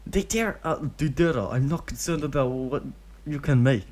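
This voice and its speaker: A man's voice: mid-range voice, moderate pace, darkish tone, voice pushed up, close to an everyday voice. A man doing a tired, firm masked ninja. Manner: firm, tired, lightly raised.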